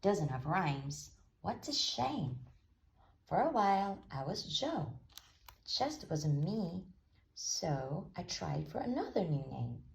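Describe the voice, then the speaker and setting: A woman's voice: lowish voice, very animated, slow pace, vocal fry, full character voice. A woman voicing an earnest, eager male pig who loves rhymes, declaiming as in a storybook. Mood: proud reciting.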